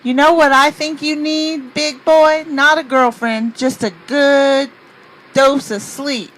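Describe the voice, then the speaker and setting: Woman acting a brash, high-voiced young hitchhiker gal. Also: fairly even intonation, takes it slowly, a bit bright, poor audio. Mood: fed up. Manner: slangy, blunt, sassy. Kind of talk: radio play acting.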